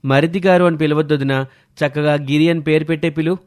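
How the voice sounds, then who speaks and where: A man's voice: fairly high pitch, steady tone, fast, a little mellow, lightly acted. A man playing an eager, affectionate young man in a cartoon story. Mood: cheerful. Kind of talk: scripted cartoon dialogue.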